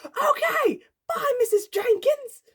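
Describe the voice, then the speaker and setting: A woman voicing a lovesick, over-excitable, dim teenage schoolgirl in a comic story reading. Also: mid-range voice, a little fast, voice pushed up, natural voice. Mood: yelling. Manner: breathy loud whispers and sudden yells.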